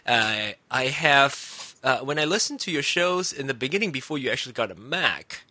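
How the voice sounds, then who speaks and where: Lively, bright-voiced Malaysian man. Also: mid-range voice, moderate pace, no echo. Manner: animated, laughing, teasing. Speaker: podcast host. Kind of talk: casual chat.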